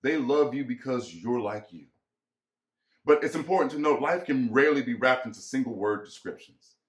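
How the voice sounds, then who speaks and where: A man's voice: medium pitch, animated, moderate pace. A man giving an explanatory scripted reading, addressing the listener directly. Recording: echoey room.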